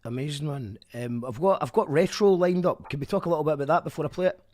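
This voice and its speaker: Scottish male radio host, brisk delivery, chatty and casual. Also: a little high, darkish tone. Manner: friendly, chatty, local slang.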